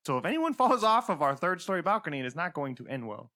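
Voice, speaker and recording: Bright, higher-pitched slangy man. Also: fast talker. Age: 30s. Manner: slangy, excitable, chiming in. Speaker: podcast co-host. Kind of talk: casual storytelling chat.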